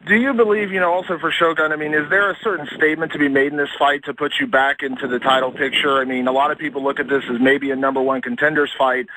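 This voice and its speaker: Fast, bright male reporter, noisy line. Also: on the high side, steady tone. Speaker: sports reporter. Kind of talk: asking questions on a press call. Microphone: telephone. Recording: noisy phone conference line.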